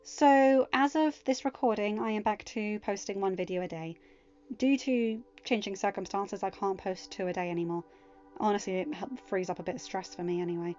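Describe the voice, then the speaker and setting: Woman reader, mid-pitch, even storytelling; muffled home recording with soft music underneath. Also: normal speed. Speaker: fanfiction audiobook reader. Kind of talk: storybook narration, casual outro chat.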